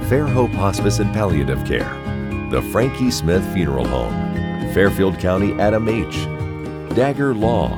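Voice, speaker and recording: A man's voice: deep voice, very animated, moderate pace. An American man reading a scripted sponsor announcement as a show announcer. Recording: loud guitar music behind. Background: guitar music.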